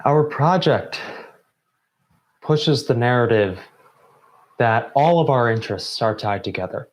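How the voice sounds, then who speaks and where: A man delivering a prepared closing address in deliberate phrases broken by pauses. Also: mid-range voice, lively intonation. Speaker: member of a student theatre group giving closing remarks.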